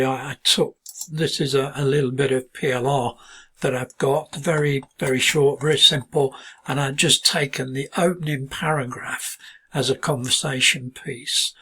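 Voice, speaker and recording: Bright, husky British man demoing software. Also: mid-range voice. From United Kingdom. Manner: relaxed, halting, thinks aloud with many ums and restarts. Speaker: software tutorial presenter. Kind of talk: screen-recorded software walkthrough.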